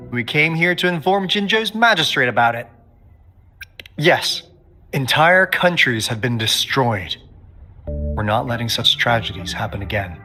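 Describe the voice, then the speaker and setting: An actor playing a breezy, sly young intelligence agent in a video game, with casual, teasing, theatrical delivery. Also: male voice, medium pitch, bright, forceful tone. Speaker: intelligence consultant character.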